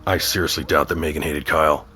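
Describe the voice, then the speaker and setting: An actor playing a middle-aged small-town sheriff and father in an audio drama, wry, measured and protective in his talk. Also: a bass voice, steady tone, pushed and bright, a little hiss or hum. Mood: grave, gentle. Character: small-town sheriff dad, teasing yet protective and steady. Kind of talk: naturalistic audio drama acting.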